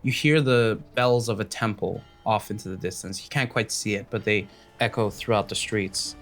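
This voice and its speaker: A man's voice: fairly low pitch, moderate pace, a bit bright. A man narrating a tabletop fantasy game as the game master.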